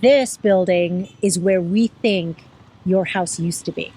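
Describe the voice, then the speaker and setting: A woman's voice: lowish voice, slow pace, dark tone, a little hiss or hum. Woman vlogger talking through old family places. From United States. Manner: explains step by step, thinking aloud. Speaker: vlogger showing family footage. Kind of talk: casual chat.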